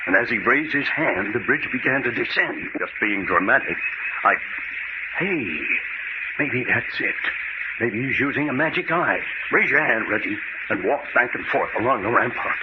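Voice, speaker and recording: A man playing a brisk, commanding adventurer in an old-time radio serial, giving clipped orders. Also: mid-range voice, expressive, dull audio, lightly acted. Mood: brisk, urgent. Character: brisk commanding male adventurer leading a rescue. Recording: noisy band-limited old radio recording.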